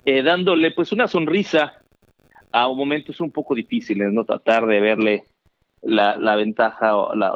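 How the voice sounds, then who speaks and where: A middle-aged Mexican man telling his story as an interview guest, animated and chatty with many fillers. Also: medium pitch, no room sound. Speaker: guest, founder. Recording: dry, dull remote call audio.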